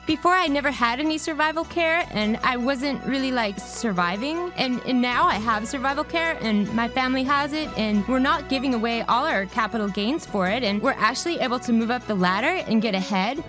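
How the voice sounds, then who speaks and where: A woman's voice: high-pitched, brisk, natural voice. A woman playing a naive, giggly young street interviewee in a satirical radio drama, hesitant and full of fillers. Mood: giddy, grateful. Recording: music playing under the voice.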